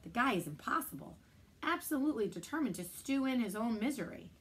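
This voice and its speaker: Librarian in her thirties, steady read-aloud voice, camera mic in a library room. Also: on the high side, breathy edge. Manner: steady, measured storytelling. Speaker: children's librarian. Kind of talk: reading a chapter book aloud.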